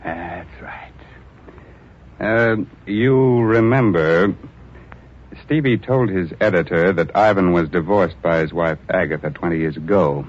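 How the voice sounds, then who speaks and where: A man hosting an old-time radio mystery show, talking in a theatrical, drawling way. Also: sits low. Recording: dull lo-fi old radio recording.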